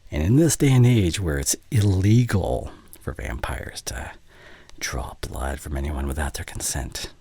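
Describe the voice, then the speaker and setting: A man acts out an ancient male vampire, courtly and possessive, in an ASMR roleplay. The voice is extremely deep and very breathy, often sinking into a whisper. He speaks slowly and formally with small hesitations, right up against a dry, close microphone. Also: lively intonation, warm tone, no room sound, heavily acted. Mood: grateful, sheepish. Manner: formal, old-fashioned, hesitant phrasing. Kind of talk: intimate ASMR roleplay monologue. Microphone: close studio condenser mic.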